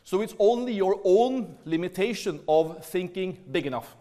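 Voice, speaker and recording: Norwegian-accented man, high voice, keynote speaker who jokes with the crowd and pushes them on. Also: moderate pace. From Norway. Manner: lively, playful, urges the audience. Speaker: seminar speaker. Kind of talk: energetic business talk. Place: large hall.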